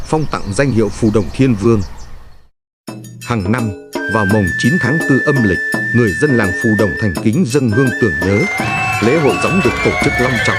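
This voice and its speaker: A man narrating a legend in a solemn, reverent, scripted storytelling style. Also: fairly low pitch. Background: music.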